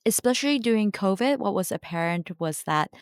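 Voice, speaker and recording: A woman from Japan answering interview questions in a calm, measured way. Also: mid-range voice, clean tone, no room sound, clean studio sound. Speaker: social enterprise founder, guest.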